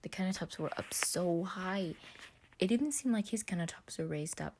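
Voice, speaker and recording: Low-pitched woman narrating a story. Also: normal speed, a little mellow. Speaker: podfic narrator. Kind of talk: scripted story narration, reading aloud.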